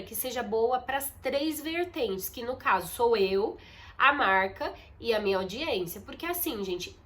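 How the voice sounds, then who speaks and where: Congested, chatty young woman vlogger. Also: on the high side. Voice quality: muffled, nasal from congestion. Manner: chatty, confiding, addresses viewers as "gente". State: congested, stuffy nose. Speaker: content creator. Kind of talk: casual vlog talk to camera. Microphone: phone on a ring light.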